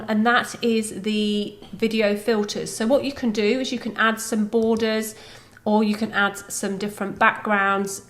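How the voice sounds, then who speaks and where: A woman with a mid-range voice presents a software tutorial. She explains each step in a conversational, informal way, as a presenter talking directly to viewers. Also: mid-range voice.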